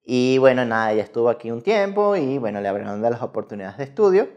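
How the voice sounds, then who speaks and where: A man's voice: medium pitch, big pitch swings, fast, darkish tone, muffled recording. A Venezuelan man in his thirties giving casual, rambling interview answers with frequent self-corrections. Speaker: guest researcher in mathematics.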